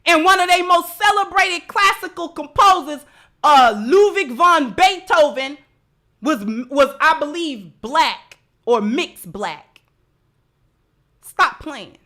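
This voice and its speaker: Lady livestreamer w a high sharp voice, really emphatic, repeats stuff to make her point. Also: animated, takes it slowly. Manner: emphatic, repeats phrases for effect. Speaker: livestream host. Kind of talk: impassioned livestream commentary to an audience, reading out chat messages.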